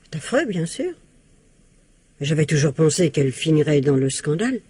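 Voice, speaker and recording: A spiteful older woman in a vintage radio whodunit. Her voice is husky and a little low, and she is prim, evasive and quick to defend herself. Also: big pitch swings, dark tone, no room sound, heavily acted. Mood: sly, disdainful. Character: scheming, spiteful older mother-in-law under suspicion. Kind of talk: old-fashioned French radio mystery acting.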